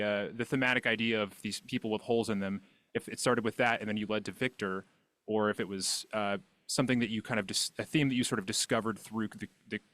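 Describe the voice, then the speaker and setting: A man's voice: fairly low pitch, sharp tone, dry recording. A man hosting a podcast interview in a casual style, feeling his way through his questions with hesitant phrasing.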